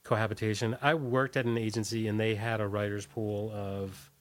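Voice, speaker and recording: A man's voice: fairly low pitch, flat delivery, moderate pace. A middle-aged man talking casually as an interview guest, hesitant and meandering, often trailing off. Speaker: interview guest, musician and advertising copywriter.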